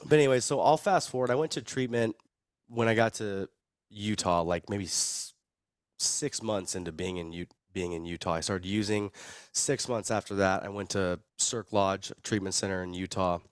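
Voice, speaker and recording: Very deep male voice, American, young, stuffed with a cold, casual slangy storytelling, dry close recording. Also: fairly even intonation, moderate pace, smooth, no echo. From Arizona, United States. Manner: laid-back slangy, chuckles at own story. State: sick with a cold. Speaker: podcast guest, recovery video producer.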